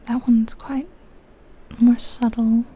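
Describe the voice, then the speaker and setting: A woman's voice: on the high side, little pitch movement, takes it slowly, mellow, breathy edge. A woman whispering in an ASMR style, musing to herself as she gives commentary on a video game. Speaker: ASMR creator.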